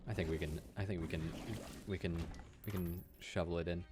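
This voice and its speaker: A man's voice: sits low, steady tone, a little fast, very noisy. A young man from Wales with a general British accent, chatting casually through gameplay commentary and often laughing as he talks to his viewers. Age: young adult. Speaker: game streamer. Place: indoor room at home.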